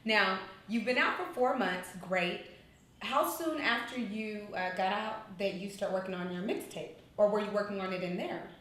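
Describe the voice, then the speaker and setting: A woman's voice: medium pitch, moderate pace, fairly bright tone. A woman hosting an interview, encouraging her guest with frequent affirmations. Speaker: interview host. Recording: very echoey room, faint noise.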